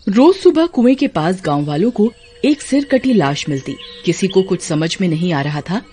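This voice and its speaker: Female narrator for a cartoon horror story, animated delivery, music bed. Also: lowish voice, normal speed. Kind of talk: dramatic scripted story narration.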